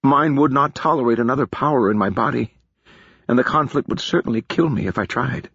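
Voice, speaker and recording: A man voicing a grave, earnest female wizard who speaks slowly and deliberately. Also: mid-range voice, a bit bright, husky, no room sound, pitched above the performer's own voice. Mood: solemn. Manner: slow, solemn, deliberate. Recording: dull lo-fi recording.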